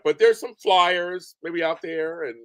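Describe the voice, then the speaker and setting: A deep-voiced man chatting as a podcast guest, animated and rambling, often repeating himself. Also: slow, no room sound, poor audio. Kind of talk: casual interview chat. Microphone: remote call from home.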